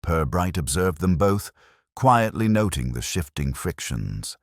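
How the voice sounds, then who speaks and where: A man narrating a scripted mystery story in a measured, formal, literary manner. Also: low-pitched, sing-song, no room sound, studio quality. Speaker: audiobook narrator.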